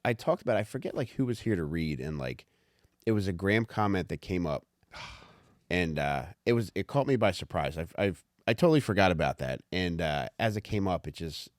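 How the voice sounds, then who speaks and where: A man's voice: sits low, expressive, normal speed, no room sound, studio quality. A man talking candidly and informally on a podcast, rambling a little and reading out his own written commentary. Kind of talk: casual podcast chat with read-aloud commentary.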